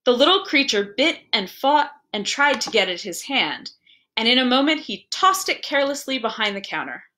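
A woman reading a story aloud as narrator, with an expressive, deliberate storytelling delivery. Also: on the high side. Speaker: library staff member reading aloud.

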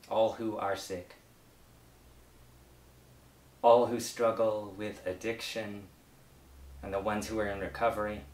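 A middle-aged man, a pastor, leads a prayer in a solemn, measured way, pausing between phrases. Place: book-lined study indoors. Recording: roomy echo, low rumbling noise.

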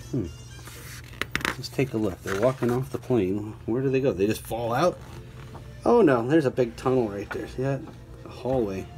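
Male teacher for picture book read-aloud, mid-pitched, breaking off for conversational asides and questions to kids. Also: darkish tone. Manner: prompts listeners with questions and asides. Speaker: teacher reading aloud to children.

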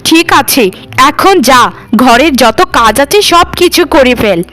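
A proud, scornful rich lady barks orders at her servants in a high, piercing voice, as a woman acts her out. She looks down on the poor and makes it known in every word of this cartoon. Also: lively intonation, a little slow, a bit bright, soft background music. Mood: haughty. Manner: scornful, commanding. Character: haughty rich mistress, later sick and humbled. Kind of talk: cartoon voice acting.

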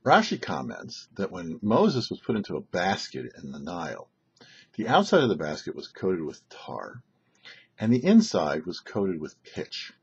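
An older man, a rabbi hosting a short religious teaching, speaking in deliberate phrases with emphatic stresses. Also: low voice, huge pitch swings, creaky, a little mellow, husky, dull audio. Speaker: rabbi, show host. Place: small indoor set, seated before backdrop.

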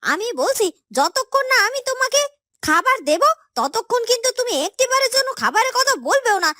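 A woman voicing a scheming, nagging young married village woman in a fairytale cartoon, with emphatic, drawn-out scolding and syrupy concern. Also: extremely high pitch, very animated, bright, forceful tone, dry recording, clean studio sound, heavily acted. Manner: falsely sympathetic, scolding, dragged-out emphatic words. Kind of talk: Bengali fairytale cartoon dubbing.

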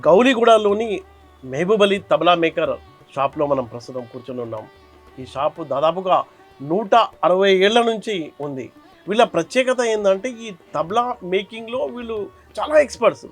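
Male reporter, slightly high voice, explainer and interview delivery, music behind. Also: expressive, moderate pace. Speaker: reporter and presenter. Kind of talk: explainer narration and street interview.

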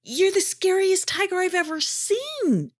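A tiny, scared creature from a children's storybook, speaking in a high, squeaky voice that swoops up and down, as a woman storyteller puts it on. It pleads and frets, anxious to get home. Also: big pitch swings, measured pace, exaggerated voice. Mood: reassuring. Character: small frightened creature lost far from home.

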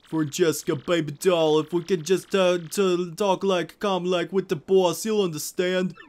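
A man's voice: high voice, fairly even intonation, unhurried, darkish tone, pitched above the performer's own voice. A man voicing a lovestruck cartoon gangster henchman, sweet-talking and pleading in a mobster drawl.

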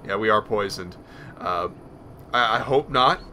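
A man's voice: fairly low pitch, measured pace, bright, forceful tone, a little hiss or hum. A man giving casual let's play commentary, rambling nervously and talking to himself as he plays. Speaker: gaming video host.

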